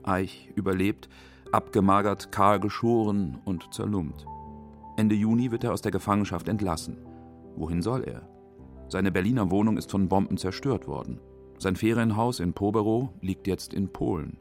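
Male documentary narrator, deep register, factual clipped phrasing, scripted radio read. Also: moderate pace, soft background music. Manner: crisp short phrases, factual.

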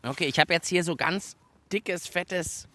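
A man's voice: fairly high pitch, unhurried, pushed and bright, slight background noise. A man hosting a children's radio show outdoors among autumn leaves, chatting playfully and explaining what he is doing. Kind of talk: lively radio chat while building.

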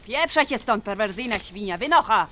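A woman's voice: medium pitch, lively intonation, a little fast, sharp tone, a little hiss or hum. An actress playing a hot-tempered young woman traveller in a naturalistic radio drama, blunt and defiant. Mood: furious.

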